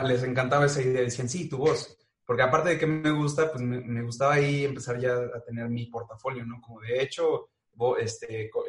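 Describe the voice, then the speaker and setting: Playful young Mexican man in an echoey room. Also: medium pitch. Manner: playful, joking, chatty with frequent fillers. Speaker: guest, animator and voice performer. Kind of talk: casual interview chat.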